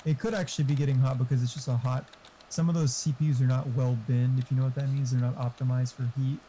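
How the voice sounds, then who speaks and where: A man's voice: mid-range voice, flat delivery, quick pace, dark tone, slight background noise. A man chatting casually over gaming voice chat, rambling and swearing freely.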